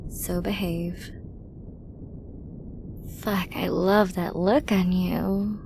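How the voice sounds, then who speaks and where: A woman's voice: lowish voice, level tone, extremely slow, vocal fry, a little mellow, a little hiss or hum, full character voice. A woman playing a flirty, dominant, menacing villain in an ASMR roleplay, teasing breathily and often dropping to a whisper. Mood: possessive, teasing. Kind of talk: ASMR roleplay audio drama.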